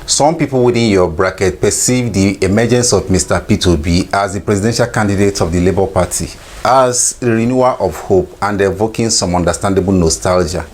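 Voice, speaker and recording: A man interviewing a guest, asking formal, measured questions. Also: sits low, slight background noise.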